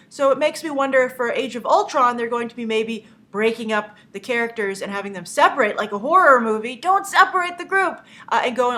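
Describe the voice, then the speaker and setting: A woman's voice: on the high side, sharp tone. A young woman with a cold and a stuffy nose, hosting a casual movie news show, chatty and opinionated, with lots of "uh" fillers.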